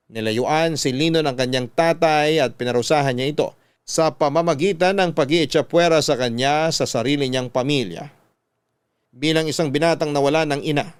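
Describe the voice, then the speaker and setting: A man narrating a scripted radio drama in a measured storytelling way. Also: mid-range voice, clear, resonant tone, dry recording.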